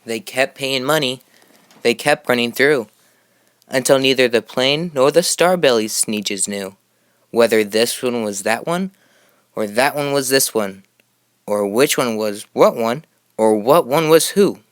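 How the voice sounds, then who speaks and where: A man reads a children's storybook aloud in a mid-pitched voice. He takes the rhymes slowly, with rhythmic, emphatic expression. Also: clear, resonant tone. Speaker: storybook reader.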